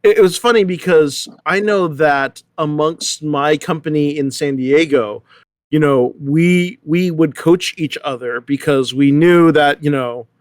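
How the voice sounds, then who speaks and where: A middle-aged man talking casually in a panel discussion, telling anecdotes in a halting, rambling way. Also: on the high side, dry recording. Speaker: actor, panelist.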